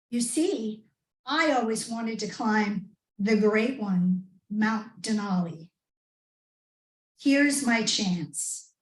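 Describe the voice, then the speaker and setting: High-pitched American woman telling a tall tale. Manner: theatrical, with dramatic pauses. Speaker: speech contestant. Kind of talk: rehearsed humorous storytelling speech. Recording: roomy, echoing live speech pickup.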